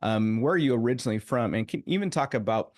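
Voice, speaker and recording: A man's voice: medium pitch, expressive, quick pace. Male podcast host, casual and friendly, asks easygoing questions. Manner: casual, often says "kinda". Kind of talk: podcast interview.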